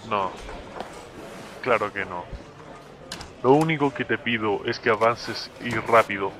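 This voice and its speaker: A man's voice: fairly low pitch, unhurried, bright, forceful tone. Man playing a curt police captain, noisy recording. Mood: curt, businesslike. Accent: Latin American Spanish. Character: brisk, pragmatic middle-aged police captain. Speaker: fan dub voice actor. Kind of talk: dubbed video game drama dialogue. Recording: noticeable background noise.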